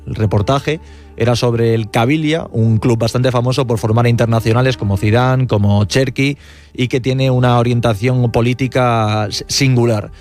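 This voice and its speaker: A man's voice: medium pitch, a little mellow. A man hosting a radio sports show, explaining a story in a conversational way.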